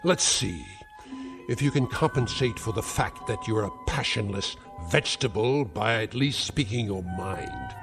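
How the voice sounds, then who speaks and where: A sneering old scientist uncle. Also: male voice, on the low side, a little slow, over music. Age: older. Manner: sneering, mocking, theatrical taunts. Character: cruel sarcastic elderly male scientist uncle. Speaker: domineering uncle. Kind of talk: 1960s TV drama acting.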